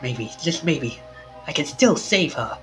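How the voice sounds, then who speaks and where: A man reading aloud as a frightened young man who stumbles over his words in panic. The voice sits a little high, and game music plays clearly beneath it. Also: expressive, unhurried. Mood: grieving, resolved. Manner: stammering, panicky, then quietly resolved. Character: frightened young man, friend has collapsed. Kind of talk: reading a visual novel aloud.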